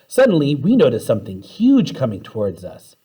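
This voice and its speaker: A man reading a children's story aloud with expressive, dramatic narration. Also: medium pitch, fairly quick, breathy edge, poor audio. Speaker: story reader.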